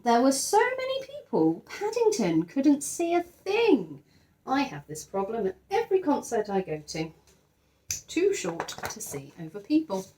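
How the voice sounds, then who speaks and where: A woman teacher sharing a children's picture book, expressive and enthusiastic, with chatty asides. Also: fairly high pitch. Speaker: teacher reading to pupils. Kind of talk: children's storybook read-aloud with chatty asides.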